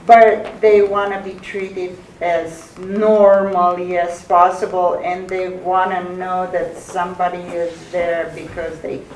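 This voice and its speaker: Slow, even-toned woman, distant. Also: fairly low pitch. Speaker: audience member. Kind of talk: informal audience comment. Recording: distant echoey room, faint noise.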